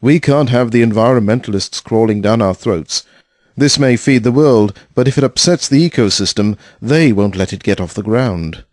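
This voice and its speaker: A man's voice: medium pitch, normal speed, darkish tone, natural voice. A man playing a blunt, dismissive, hard-nosed investor in an audio drama, curt and sardonic in manner. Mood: skeptical, brisk.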